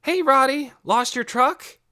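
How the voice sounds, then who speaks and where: Man doing a loud, high-pitched bossy big boy. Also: unhurried, full character voice. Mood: teasing, playful. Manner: loud calling, commanding. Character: bossy, teasing big boy ordering younger kids around. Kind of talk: acted audiobook character.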